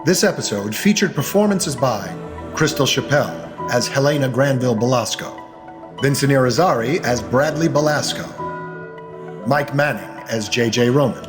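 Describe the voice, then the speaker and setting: A man's voice: mid-range voice, moderate pace. A man giving a scripted, polished voice-over for an audio soap opera, as its dramatic announcer. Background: music.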